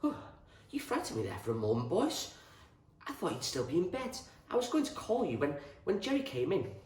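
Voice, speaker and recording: A man voicing a small, gentle, motherly farmer's wife with a foreign accent, kindly and fussing, in a storybook. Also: high-pitched, wildly swooping pitch, fairly quick, voice pushed up. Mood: startled, kind. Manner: kindly, fussing, ends phrases with questioning tags. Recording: roomy echo, distant from the mic.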